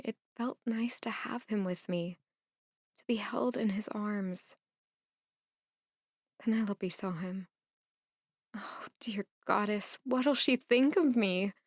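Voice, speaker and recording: Casting: actress as troubled young lady-in-waiting, high voice, earnest and emotive, fantasy audio drama, dry close recording. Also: no room sound. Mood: hushed, wistful, anxious. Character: anguished young former maid turned lady-in-waiting.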